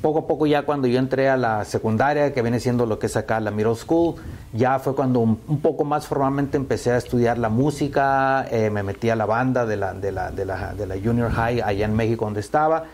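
A man's voice: mid-range voice, a little fast, a little mellow. A Mexican man in his fifties, a musician answering interview questions in a hesitant way, full of fillers and repeated words. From Sonora, Mexico. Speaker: musician guest.

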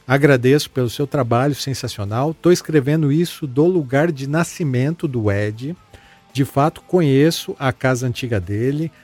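A man hosting a casual podcast. He chats in a relaxed way and reads listener messages aloud, with many fillers and repeated words. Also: medium pitch. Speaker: podcast host.

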